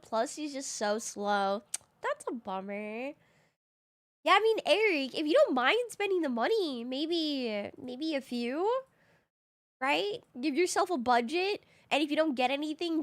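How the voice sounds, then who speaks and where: A woman game streamer chatting casually with her viewers while she plays, slightly raspy from just waking up, easygoing and quick to laugh. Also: on the high side. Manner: chatty and easygoing, laughs often, thinks aloud. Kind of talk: casual live-stream chat, answering viewers.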